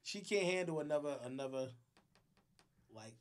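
A man's voice: fairly high pitch, lively intonation, normal speed. A young man co-hosting a casual chat, giving blunt, slangy opinions. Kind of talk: casual banter.

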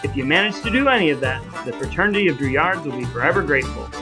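Male podcast co-host, voice a bit high, jokey and mock-grand, clear music bed. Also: lively intonation, very fast. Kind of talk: casual chat with playful scripted sign-off.

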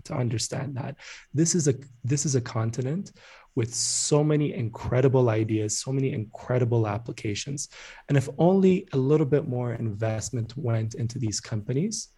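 A man from Ethiopia talks as a guest in a podcast interview. His voice is soft-edged and warm in tone, at an average pitch, and he explains things conversationally as a founder would. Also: husky.

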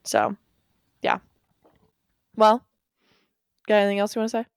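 A woman co-hosting a podcast, chatting casually with many fillers and frequent laughs, in a slightly deep voice. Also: measured pace, a little hiss or hum. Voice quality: rambling, filler-heavy, laughs often; deeper voice. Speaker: podcast co-host. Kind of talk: casual chat.

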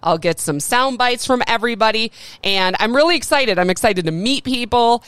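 A woman's voice: on the high side, animated, moderate pace, a bit bright, clean tone, dry recording. A woman hosting a podcast, talking in a chatty, upbeat way with frequent laughs, with the ease of a former radio broadcaster. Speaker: podcast host. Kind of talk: casual solo podcast monologue.

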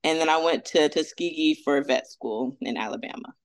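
A woman's voice: deep voice, normal speed, no echo. A woman in her thirties from Atlanta, a veterinarian guest talking casually and upbeat, with many fillers. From Atlanta, Georgia, US. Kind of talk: casual interview.

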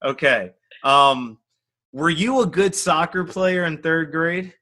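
A man interviewing a former player in a relaxed, jokey, encouraging way. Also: on the high side, takes it slowly. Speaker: interviewer, coach. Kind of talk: casual interview questions.

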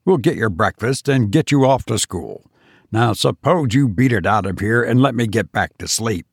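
A man's voice: fairly low pitch, lively intonation, normal speed, no room sound, studio quality, heavily acted. A man voicing an irritable, sleepy father who grumbles at his son to leave him alone. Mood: grumpy. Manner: gruff, grumbling, impatient.

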